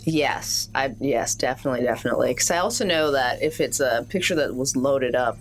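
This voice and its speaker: A woman's voice: extremely low pitch, fairly quick, faint music behind. A woman chatting casually and a little hesitantly as she improvises as a player in a tabletop roleplaying game.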